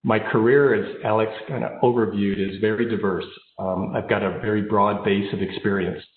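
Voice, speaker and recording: A man's voice: fairly low pitch, steady tone, moderate pace. An American man in his fifties with a slight head cold, giving an informal, personal keynote speech remotely. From born in Japan, raised overseas, then United States. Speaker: keynote speaker, engineering executive. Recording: phone-like narrow band, roomy echo.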